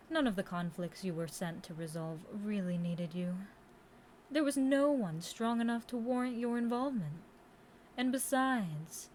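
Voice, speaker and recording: A woman's voice: medium pitch, a little mellow, slight background noise, lightly acted. A woman playing a seductive, condescending immortal Demon Queen in a theatrical, taunting audio roleplay monologue.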